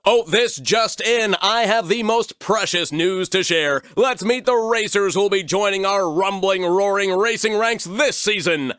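A man's voice: high voice, unhurried, few pauses, fairly bright tone, smooth, dry recording, clean studio sound. A man voicing a pompous cartoon rooster race commentator in a formal, theatrical sportscaster style. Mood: polished showmanship. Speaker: race show co-host. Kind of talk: animated sportscaster announcing.

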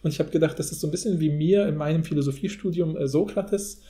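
Male podcast discussant, mellow and slightly high, wordy academic phrasing, informal delivery. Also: quick pace. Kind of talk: casual analytical discussion.

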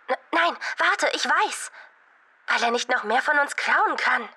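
An actress playing a sharp-witted, bossy teenage schoolgirl in an audio drama, talking in a direct, pointed way. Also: high voice, animated, measured pace, breathy edge, exaggerated voice. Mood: eager.

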